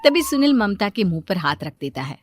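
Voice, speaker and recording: A woman's voice: medium pitch, sing-song, fairly quick, soft background music. A woman narrating a scripted moral story as a storyteller.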